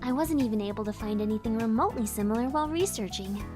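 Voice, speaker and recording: An actress voices an eager young woman who builds and tinkers with robots. Her voice is high and brainy-sounding, in anime game style, with clear music playing behind it. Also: lively intonation, fast talker, lightly acted. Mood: excited, proud. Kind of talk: anime visual novel voice acting.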